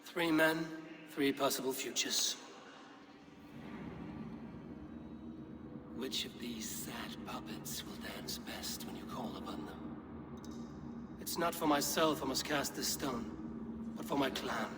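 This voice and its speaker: An actor playing a stern, steady Viking warrior in a game cutscene, speaking in a blunt, measured, weighty way. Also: male voice, mid-range voice, natural voice. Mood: brooding, resolute. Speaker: video game lead character. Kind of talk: dramatic game cutscene acting.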